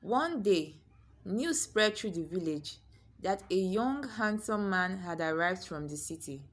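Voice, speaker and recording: A woman storyteller narrating a scripted folktale in a dramatic, expressive way. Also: medium pitch, unhurried.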